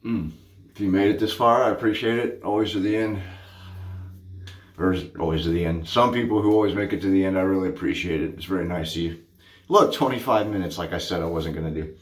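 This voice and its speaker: A man's voice: sits low, fairly quick. A man in his thirties chatting casually to camera in a small home bathroom, goofy and hyper, often correcting himself. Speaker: shaving vlogger. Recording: echoey bathroom.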